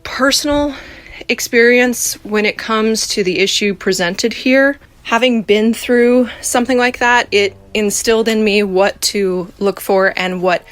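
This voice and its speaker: A woman's voice: a little high, fairly even intonation, unhurried, no echo, faint music behind. A woman giving earnest, appreciative spoken commentary as a podcast listener sending in feedback.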